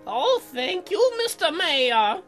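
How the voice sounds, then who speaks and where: A bright, eager colonist woman from a visual novel, acted out by a man. The voice sits extremely high and swings widely in pitch. The delivery is prim and polite, with music underneath. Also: sing-song, takes it slowly, heavily acted. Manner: prim, chirpy, put-on woman's voice.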